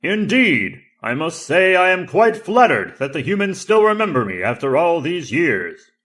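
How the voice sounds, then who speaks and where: A man voicing an ancient enchanted tiger saber in an audio drama, formal, flattering and theatrical. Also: a little high, normal speed, voice pushed up. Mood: proud, gracious. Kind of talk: audio drama character acting.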